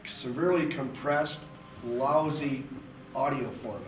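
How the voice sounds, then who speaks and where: A man's voice: medium pitch, fairly even intonation, a little slow. A man speaking up casually from an audience, blunt and opinionated. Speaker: audience member, musician. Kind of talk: casual spoken comments from the audience. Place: echoey room at a live presentation. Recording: echoey room, distant mic, clear background noise.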